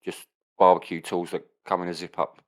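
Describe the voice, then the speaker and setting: A man's voice: low-pitched, level tone, brisk, fairly bright tone. A man, co-hosting a podcast in casual, rambling banter full of swearing and rhetorical questions. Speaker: podcast co-host.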